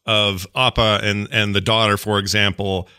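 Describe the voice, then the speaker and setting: Bright-voiced earnest male interviewer. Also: on the low side, level tone, normal speed, smooth. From United States. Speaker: interviewer host. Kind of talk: casual interview chat.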